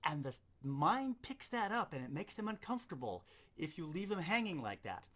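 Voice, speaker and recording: A man's voice: a little high, very animated, normal speed, a bit bright. A man giving an instructional demonstration as a sound effects teacher, explaining things step by step and repeating key points.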